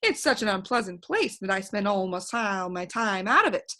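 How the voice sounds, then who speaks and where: A woman voicing a mischievous male bird who cackles and teases, speaking loudly and drawn out. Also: mid-range voice, very animated. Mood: scornful. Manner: loud, drawn-out, gleeful teasing.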